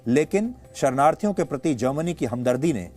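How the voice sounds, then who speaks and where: A male news anchor with an Indian accent delivering opinionated commentary in a deliberate, emphatic way with rhetorical repetition. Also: medium pitch, animated, darkish tone. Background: background music.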